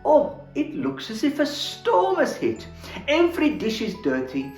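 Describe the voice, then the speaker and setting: A man's voice: extremely high pitch, wildly swooping pitch, measured pace, a little mellow, voice pushed up, heavily acted. A man voicing a fussy, kind-hearted female storybook mouse who exclaims and frets in a motherly way. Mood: fretful. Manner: exclamatory, fretting. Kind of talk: storybook character voice. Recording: roomy echo, faint music.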